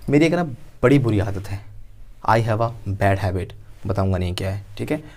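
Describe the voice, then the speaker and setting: Playful guy teaching english, acts out small scenes, lapel mic in a dark studio. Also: on the low side, expressive, moderate pace. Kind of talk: spoken English lesson.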